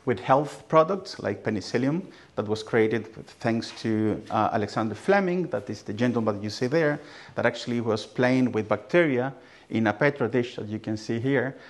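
A middle-aged man from Chile with a Chilean Spanish accent, a native Spanish speaker, giving a conference presentation in a large hall with frequent fillers and restarts. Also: mid-range voice. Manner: hesitant, many restarts and fillers. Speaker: academic speaker.

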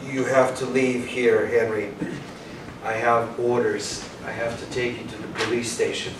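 Actor as earnest young detective, slow, echoey. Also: male voice, mid-range voice, little pitch movement, lightly acted. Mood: urgent. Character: earnest young male police detective, protective, anxious. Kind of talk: staged play reading. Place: small hall, staged reading before an audience. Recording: distant, echoey room with background hiss.

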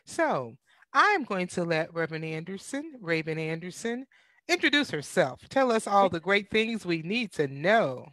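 A woman with an American accent hosts a talk show at a slow pace. Her delivery is measured and instructional, picked up closely and dryly by a headset mic. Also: fairly low pitch, big pitch swings, a bit bright, dry recording, poor audio. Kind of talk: guided breathing and show hosting. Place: indoor room with bookshelves, video call.

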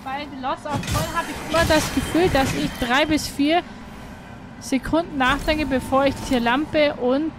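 Loud noise sits behind a woman with a high voice. She chats casually alongside a video game, commenting as a second voice to the player. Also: steady tone, moderate pace. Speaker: co-commentator on a let's play. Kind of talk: casual chat over gameplay.

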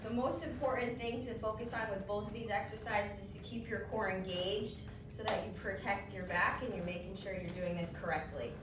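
A woman demonstrating exercises, giving clear, practical coaching cues. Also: medium pitch, fairly even intonation, a little fast, few pauses. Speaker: fitness instructor. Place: large bare indoor room. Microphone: far camera. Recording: echoey room, distant, some noise.

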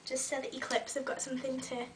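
Croaky young woman giving a hair tutorial. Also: sits high, monotone, fast, recorded from a distance, noisy background. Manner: chatty, talks while styling hair, many ums. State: croaky, recovering from a cold. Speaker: beauty vlogger. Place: small bedroom with fairy lights. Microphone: webcam a little way off.

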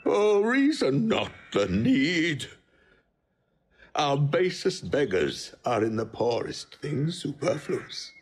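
A man's voice: fairly high pitch, wildly swooping pitch, breathy edge, full character voice. An actor playing a wretched, proud old king and father in a declamatory Shakespearean audio drama. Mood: wounded pleading. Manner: declamatory, drawn-out delivery.

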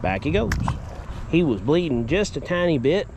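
A man's voice: a little high, moderate pace, noisy background. A young man with a drawl, talking through a casual fishing vlog in a folksy, rambling way. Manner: folksy, rambling, excitable when fish bite. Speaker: fishing vlogger. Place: outdoors by a pond, later indoors at home.

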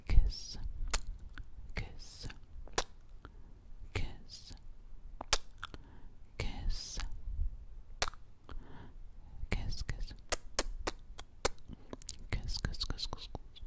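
A young woman doing close-up ASMR as a gentle alien girl, whispering breathily and soothingly right at the microphone, repeating words and phrases. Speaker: ASMR creator. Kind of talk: ASMR personal attention roleplay as an alien girl. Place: small dark indoor space, very close up. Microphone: large microphone right at the lips.

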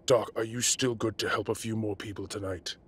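A man acts out a half-dragon mafia boss in an audio roleplay. His voice is lowish, rough and hard-edged. He is commanding and impatient, scolding his men while looking out for them. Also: fairly even intonation, fairly quick, fairly bright tone. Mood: concerned, firm. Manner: barking, bossy, scolding.

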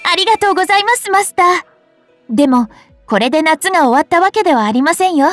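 A woman's voice: extremely high pitch, expressive, quick pace, fairly bright tone. A woman voicing a cheerful, devout young maiden for an anime mobile game, speaking politely and earnestly. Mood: cheerful, sweet. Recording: studio voice over game music. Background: game music.

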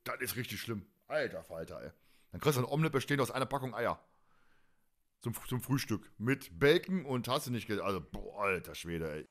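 A man's voice: medium pitch, expressive, brisk, a bit bright. A man chatting casually as a podcast host, rambling and emphatic in colloquial speech.

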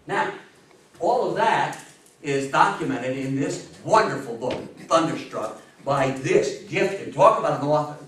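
A man in his fifties giving a history talk to an audience, emphatic and theatrical, with dramatic pauses. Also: medium pitch, a bit bright, a little hiss or hum. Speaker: lecturer. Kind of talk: animated public history talk to a live audience. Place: meeting room with a seated audience, indoors. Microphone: distant camera microphone. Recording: echoey room, recorded from far off.

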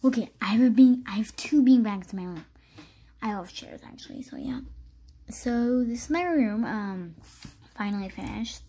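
A woman's voice: mid-range voice, moderate pace, mellow. A teenage girl chatting casually as she shows a game house, rambling with restarts and fillers. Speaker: channel host.

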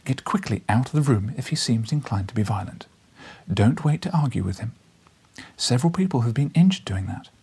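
A man voicing a small, vivacious, anxious wife in an audiobook, giving urgent, brisk warnings. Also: mid-range voice, animated, dark tone, breathy edge.

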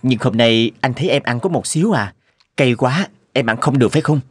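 A man's voice: mid-range voice, animated, brisk, husky, dry recording, clean studio sound. A man playing a concerned, well-meaning young husband in a cartoon, fussing expressively. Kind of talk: cartoon dialogue.

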